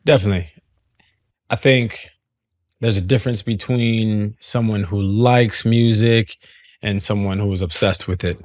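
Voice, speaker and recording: A man speaking in a relaxed, halting way as a singer being interviewed. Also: fairly low pitch, no echo. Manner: relaxed, hesitant, laughs often. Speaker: guest singer. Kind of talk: conversational interview.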